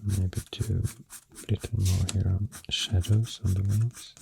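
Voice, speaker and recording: A man with a Swedish accent speaking softly and breathily, often close to a whisper, in a soothing ASMR ramble, close to the microphone. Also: little pitch movement, a little hiss or hum. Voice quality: deep, soft, breathy, often near whisper. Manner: slow, hushed, soothing. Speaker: ASMR host. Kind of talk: soft-spoken ASMR rambling.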